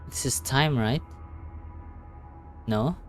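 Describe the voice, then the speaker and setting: A man livestreaming a game with casual, slangy commentary, often halting and repeating himself. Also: mid-range voice. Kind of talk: casual live gameplay commentary. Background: faint game music.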